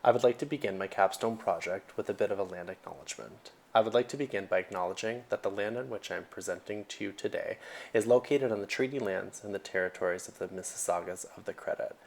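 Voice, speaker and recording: A young man from Nova Scotia, a social work student giving a prepared presentation in an earnest, measured way with occasional fillers. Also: lowish voice, vocal fry. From Nova Scotia, Canada.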